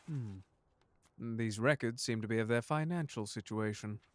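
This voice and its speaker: A man's voice: mid-range voice, normal speed, natural voice. A man playing a suave, unflappable butler in an ASMR audio drama, speaking formally with dry courtesy and a protective streak. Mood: curious, watchful. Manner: formal, polite, dryly sardonic. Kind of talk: ASMR audio drama roleplay addressed to the listener.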